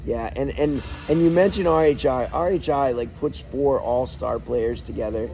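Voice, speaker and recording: A man's voice: mid-range voice, normal speed, vocal fry, music in the background. A man co-hosting a podcast, chatting energetically in a casual recap of sports results and leading the talk. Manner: energetic, talkative, leads the segments. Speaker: podcast co-host.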